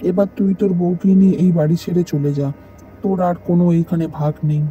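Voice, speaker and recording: An actor playing a scheming, greedy elder brother in an animated moral story, bossy and commanding. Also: medium pitch, fast, muffled recording, soft background music, lightly acted. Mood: harsh, dismissive.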